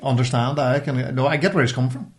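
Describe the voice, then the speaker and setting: A man's voice: medium pitch, steady tone, fairly quick. A Northern Irish man co-hosting a podcast, chipping in with dry, teasing casual banter. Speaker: podcast co-host.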